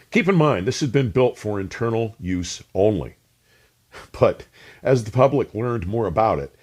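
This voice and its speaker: A man reading scripted, documentary-like narration as a host, measured and emphatic, with precisely pronounced technical terms. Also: deep voice, darkish tone, husky. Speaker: host and narrator of an audio review channel.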